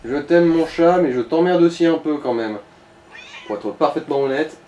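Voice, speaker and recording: A man's voice: a little high, moderate pace, mellow, far from the mic. A man chatting casually during a video game, offering tips in a wry, crude, teasing way. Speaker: co-player giving advice. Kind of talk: casual chat during gameplay. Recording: echoey room, off mic, background noise.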